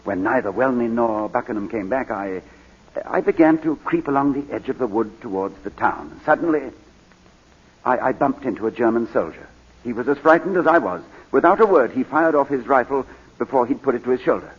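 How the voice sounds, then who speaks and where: A haunted British war veteran on the witness stand. His husky voice stammers and swings widely in pitch as he grows overwrought. The sound is a dull, lo-fi 1940s radio drama recording. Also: lively intonation, brisk. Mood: strained, halting.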